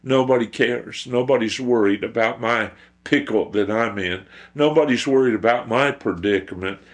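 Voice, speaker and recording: A man's voice: mid-range voice, normal speed, close to an everyday voice. A man voicing a frightened little mouse in a storytelling, stammering and repeating its worries as it pleads. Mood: fretting. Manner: repetitive, stammering worry.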